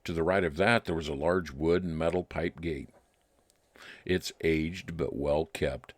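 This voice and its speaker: A man playing a wry, nostalgic private detective, narrating his case deliberately in old time radio style. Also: very deep voice, dry recording. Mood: reflective. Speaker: narrator and lead actor.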